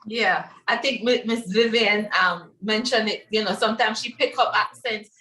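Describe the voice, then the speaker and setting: A woman's voice: medium pitch, moderate pace, sharp tone, echoey room, distant mic, rough recording. A woman from Belize moderating a panel discussion in a chatty manner with many fillers.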